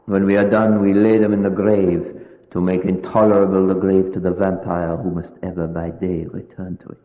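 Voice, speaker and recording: A tinny vintage radio recording with heavy hall reverb carries a man acting as a solemn, steadfast vampire hunter. His low voice, and he speaks in deliberate, formal, old-world phrases with pious gravity. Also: level tone, close to an everyday voice. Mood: solemn, firm. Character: grave older foreign vampire hunter and scientist, steadfast and pious.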